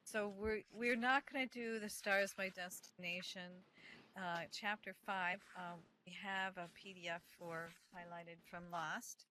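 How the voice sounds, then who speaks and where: A woman's voice: mid-range voice, steady tone, unhurried, noisy background. A woman chatting casually as she runs a reading group, with lots of fillers and laughs. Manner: hesitant, many fillers, laughs often. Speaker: organizer of an online reading group. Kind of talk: casual meeting chat.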